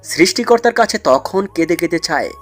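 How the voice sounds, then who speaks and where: A man's voice: a little high, expressive, a little fast, faint music behind. A man playing a cunning, commanding mafia mastermind in an audio drama, explaining things in a smug, condescending way. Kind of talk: dramatised audio story acting.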